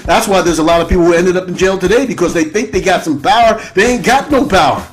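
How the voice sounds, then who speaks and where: A man hosting an internet radio show, giving an unscripted political monologue with repeated rhetorical questions. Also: fast. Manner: repetitive, rhetorical "right?" tags, sweeping pitch.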